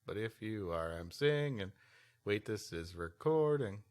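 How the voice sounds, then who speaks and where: A man's voice: fairly low pitch, lively intonation, measured pace, a little mellow. A man co-hosting a podcast, mixing read-aloud history with wry, rambling banter. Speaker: podcast co-host. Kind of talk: reading a history story aloud with joking banter.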